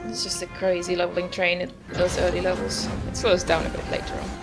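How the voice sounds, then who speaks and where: A woman's voice: fairly low pitch, completely flat delivery, fairly quick, gravelly. A woman giving casual gameplay commentary as a video host, musing aloud in an offhand way. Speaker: gaming video host, player. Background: loud game music.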